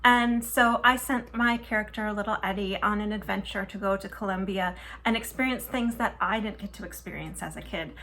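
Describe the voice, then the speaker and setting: A woman's voice: mid-range voice, moderate pace, hardly any pauses, a bit bright. A woman author raised in Minnesota speaking earnestly about why she wrote her book. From Minnesota, USA. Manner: earnest, heartfelt.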